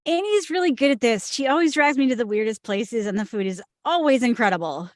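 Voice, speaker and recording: Blunt comedy oddball, female, acted by a woman at a table read: cheerful, brash, on the high side, dry sound. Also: animated, a little fast, no echo, natural voice. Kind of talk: screenplay table read acting.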